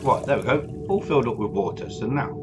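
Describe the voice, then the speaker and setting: A man giving casual let's play commentary, narrating his own actions in short, unhurried phrases. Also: mid-range voice, animated. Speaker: gaming YouTuber. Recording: voice over loud game music. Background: loud game music.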